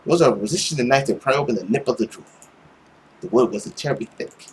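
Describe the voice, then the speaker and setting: A man's voice: medium pitch, animated, fast talker, a little hiss or hum. A young man doing a theatrical, suspenseful read-aloud of a visual novel as a let's play host, at home. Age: young adult. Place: indoor room at home. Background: game music.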